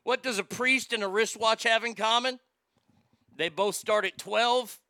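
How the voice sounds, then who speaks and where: High, bright-voiced man hosting morning radio. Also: steady tone, measured pace, dry recording. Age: 50s. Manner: crude, joking. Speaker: radio show host. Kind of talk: morning radio show banter.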